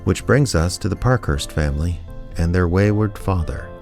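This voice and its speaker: Deep dry deadpan small town detective telling his story, radio play, bit of music. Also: moderate pace. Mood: wry, reflective. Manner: deadpan, wry. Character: wry, reluctant small-town amateur private detective narrating his story. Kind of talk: audio drama narration and dialogue.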